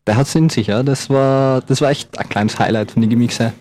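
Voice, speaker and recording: A man's voice: mid-range voice, fairly quick, a little mellow. Young man, campus radio co-host, rambling and playful. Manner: joking, rambling, many fillers. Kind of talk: casual radio chat.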